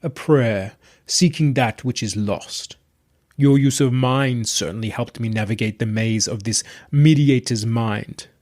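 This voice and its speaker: A man voicing an ancient god in a possessed body, gravelly and deep, with slow, pompous, courtly speech in a narrated story. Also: a little mellow, no room sound, studio quality, natural voice. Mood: smug, courtly.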